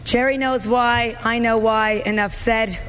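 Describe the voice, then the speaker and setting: Hoarse woman doing a teasing roast. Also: a little high, steady tone, unhurried, a bit bright. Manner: mock-sweet, teasing. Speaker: comedian at a roast. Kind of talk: stand-up roast set. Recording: noisy archive recording.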